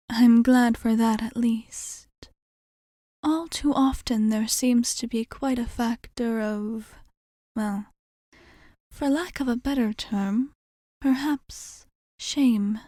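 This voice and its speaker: A woman acts out an ancient female vampire bartender in an intimate ASMR roleplay. Her voice is soft, mellow and breathy, often slipping into a whisper, and it sits fairly high. She speaks very slowly and formally, composed and attentive, in a close, dry recording. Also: no echo. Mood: calm, reflective. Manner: measured, formal wording with thoughtful pauses.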